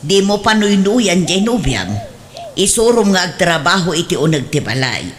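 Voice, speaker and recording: A woman's voice: lowish voice, expressive, moderate pace, natural voice. A woman with an Ilocano accent playing an outspoken, meddling middle-aged aunt in a comedic radio drama. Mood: chiding.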